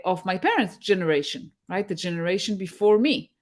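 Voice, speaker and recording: A middle-aged woman from Israel, a guest on a video-call interview, speaking thoughtfully with many pauses and fillers. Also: mid-range voice, animated, a little mellow. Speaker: guest, tech mentor.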